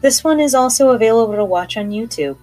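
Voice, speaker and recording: A woman's voice: a little high, lively intonation, quick pace, dark tone, faint music behind. A woman hosting a horror podcast, talking in a conversational, playful and enthusiastic way. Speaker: podcast host.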